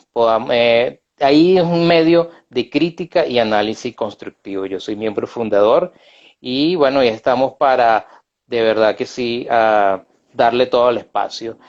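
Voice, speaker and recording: A man's voice: medium pitch, lo-fi sound. A middle-aged man with a Venezuelan accent, a guest in a casual live video interview, talking freely with many hesitations and side remarks. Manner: chatty, many filler hesitations and self-asides. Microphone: video call.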